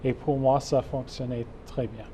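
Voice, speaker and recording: Halting man with an English Canadian accent. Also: medium pitch, fairly even intonation, warm tone, a little hiss or hum. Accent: English Canadian accent in French. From Calgary, Canada. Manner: careful, halting, frequent fillers. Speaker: guest, club co-chair. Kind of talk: interview answers in a second language.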